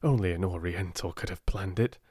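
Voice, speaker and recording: A man voicing a brisk, curious young male secretary in a storybook, an English gentleman. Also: sits low, slightly rough, no room sound, clean studio sound. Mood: pleased, brisk.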